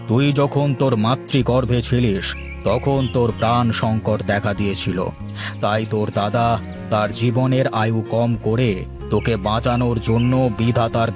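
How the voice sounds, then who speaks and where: A man's voice: mid-range voice, fairly even intonation, moderate pace, pitched below the performer's own voice, lightly acted. A man voicing a cryptic old holy man in a cartoon, grave and ominous in manner. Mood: solemn revelation. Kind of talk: Bengali moral-story cartoon voice acting. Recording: music behind the voice.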